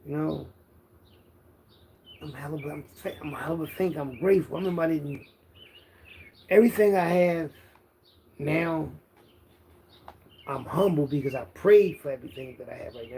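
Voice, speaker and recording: A man's voice: on the high side, normal speed, gravelly, pauses often, a little mellow. A man talks casually and self-assuredly in rambling, slang-heavy street monologue, boasting as he goes. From Philadelphia, United States. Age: 50s. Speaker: internet content creator. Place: outdoors, birds chirping behind. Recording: outdoor background noise with birdsong.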